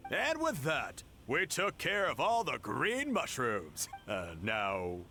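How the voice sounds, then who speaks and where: A man's voice: medium pitch, huge pitch swings, slow pace, pushed and bright, soft background music, full character voice. A man voicing a composed, knowledgeable young ally who explains things easily in an anime-style video game. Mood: wry, explanatory.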